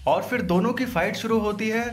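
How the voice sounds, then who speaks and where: High-pitched man doing informal video commentary. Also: brisk, loud music behind the voice. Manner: informal, crude slangy joking. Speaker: video host. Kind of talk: trailer breakdown voice-over commentary.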